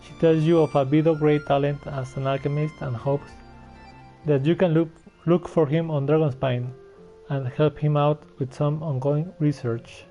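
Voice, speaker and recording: A man's voice: on the high side, fairly even intonation, moderate pace, dark tone, dull audio, soft background music. A man giving casual commentary while streaming a video game.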